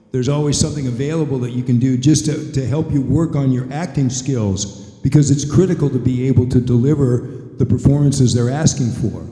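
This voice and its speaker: A man's voice: mid-range voice, mellow. An older man, a veteran voice actor, giving conversational, plain-spoken advice with a wry, folksy manner on a panel. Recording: echoey hall, long reverb.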